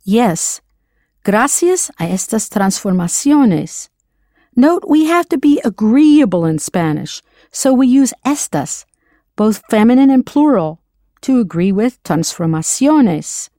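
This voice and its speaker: Female narrator, English-speaking, clear and encouraging, dry studio sound. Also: fairly high pitch, big pitch swings, warm tone, dry recording. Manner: clear, encouraging, slows down to model pronunciation. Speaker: language teacher. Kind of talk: scripted audio lesson narration.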